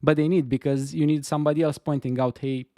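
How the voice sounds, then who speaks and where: A man with a Romanian accent chats casually on a game-development stream. His voice is gravelly and dry, and he pauses often and restarts his sentences as he works through his points. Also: fairly high pitch, steady tone, mellow, dry recording. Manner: hesitant, many fillers and restarts. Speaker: stream co-host. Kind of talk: casual chat. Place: Stockholm.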